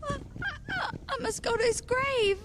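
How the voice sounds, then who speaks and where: Very high-voiced, fluttery Western sweetheart. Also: female voice, animated, normal speed, background noise. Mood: shocked, distraught. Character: fragile, sweet, grieving lovelorn woman in Western. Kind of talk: video game cutscene acting.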